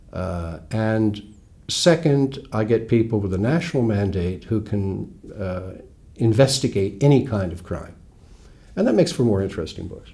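An American man in his sixties, a guest author explaining things in a wry, measured way, recorded in a small studio on a lapel mic. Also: lowish voice, darkish tone. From United States. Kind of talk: relaxed interview talk. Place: small studio with red curtain.

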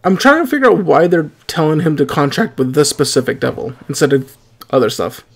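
A man's voice: on the high side, fairly quick, creaky. A young man hosting an anime reaction video, chatting casually from a small room at home with plenty of fillers and restarts. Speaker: anime reaction channel host.

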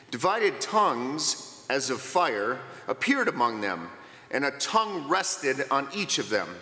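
Middle-aged male lector, slow lively reading, bright tone, roomy church reverb. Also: a little high. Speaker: scripture reader. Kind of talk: scripture reading. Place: church sanctuary, at a lectern. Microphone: lectern mic.

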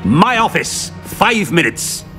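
A haughty middle-aged headmaster for a fantasy game. His voice sits high and swoops dramatically as he issues pompous, curt orders. Faint music runs behind him. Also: very animated, takes it slowly, exaggerated voice. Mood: imperious, scornful. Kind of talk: video game cutscene acting.

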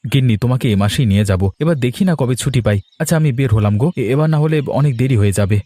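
A man voicing a hurried, meek young office-worker husband in a cartoon fairy tale, flustered, pleading and deferential. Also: medium pitch, dark tone, no room sound, close to an everyday voice.

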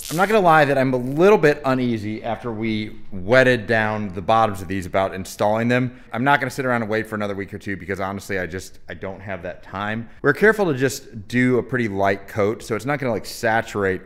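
A man's voice: on the low side, a little fast, few pauses, a bit bright. A man in his thirties explaining building repair work in a casual, matter-of-fact vlog, recorded on a camera mic in a large empty brick building. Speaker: renovation vlogger. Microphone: camera mic held at arm's length.

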